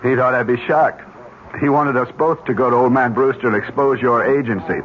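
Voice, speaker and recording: A man's voice: medium pitch, steady tone, brisk, close to an everyday voice. Man as bitter, sardonic crooked executive. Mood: incredulous, resentful. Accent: American. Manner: sardonic, slangy. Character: cynical, crooked male corporate manager, bitter and scornful. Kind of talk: radio drama acting. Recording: muffled old radio, faint noise.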